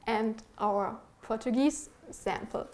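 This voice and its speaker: Female presenter, fairly high voice, academic researcher delivering prepared slides in a measured, explanatory way. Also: a little mellow, slight background noise.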